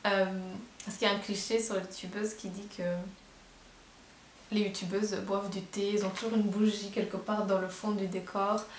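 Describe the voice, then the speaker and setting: A woman's voice: medium pitch, level tone, moderate pace, echoey room, slight background noise, low-quality recording. A woman in her twenties with a Belgian French accent, chatting casually and hesitantly to camera in a vlog, with many fillers. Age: 20s. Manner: chatty, hesitant, full of fillers and self-deprecating laughs. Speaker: vlogger.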